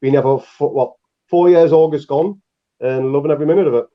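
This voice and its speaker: A man's voice: on the high side, brisk. A laid-back man with a Northeast English accent talks with dry humour as a podcast guest. His voice comes through a video call and sounds a little dull and muffled. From northeast England. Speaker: podcast co-host. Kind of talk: casual podcast chat.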